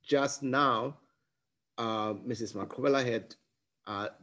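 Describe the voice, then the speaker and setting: A man's voice: mid-range voice, slow, a bit bright. A middle-aged man, a school headmaster, speaking earnestly and emphatically over a video call from a study. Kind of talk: welcoming webinar address. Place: home study with bookshelves, indoors. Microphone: video call webcam.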